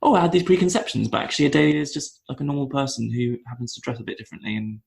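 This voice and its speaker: Gravelly guest, thoughtful and halting. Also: male voice, mid-range voice, a little mellow. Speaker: podcast guest. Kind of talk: casual interview talk.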